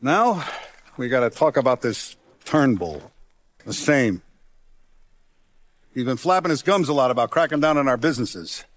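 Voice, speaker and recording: A man's voice: mid-range voice, expressive, no echo, natural voice. Guy playing a hardened mob boss from a video game, commanding, calculating, streetwise, dry close sound. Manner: deliberate, authoritative, streetwise gangster talk. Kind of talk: video game character acting.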